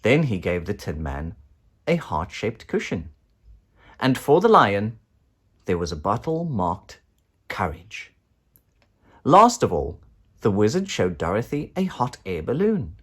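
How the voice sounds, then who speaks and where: A man narrating a children's storybook in an expressive, dramatic way. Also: on the low side, a little slow, pauses often, breathy edge. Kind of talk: children's storybook read-aloud.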